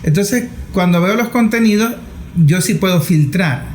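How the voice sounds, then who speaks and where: A middle-aged man hosts a conversational interview, telling anecdotes as he goes. His voice is a bit high for a man. It is recorded in an echoey room with faint noise behind him. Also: expressive, unhurried, a little mellow.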